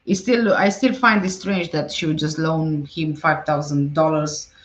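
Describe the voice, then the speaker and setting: A woman's voice: low voice, fairly even intonation, unhurried, reverberant. A woman hosting a casual livestream, reading viewer comments aloud and answering them conversationally. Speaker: livestream host. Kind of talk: casual livestream chat about a case.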